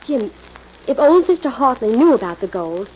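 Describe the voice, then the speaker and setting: An earnest, higher-voiced heroine. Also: female voice, sing-song, moderate pace. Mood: inquisitive. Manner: concerned, inquisitive. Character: earnest, attentive female assistant to the hero. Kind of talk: 1940s radio acting. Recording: muffled, noisy old radio recording.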